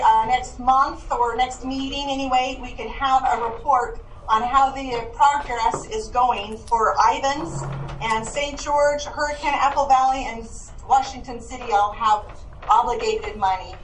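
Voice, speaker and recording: A woman on a call giving a financial update, reading out figures in a brisk, matter-of-fact way. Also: sits high, a little hiss or hum. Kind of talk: remote financial report. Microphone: heard through a call speaker in the room. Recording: distant, echoey call audio.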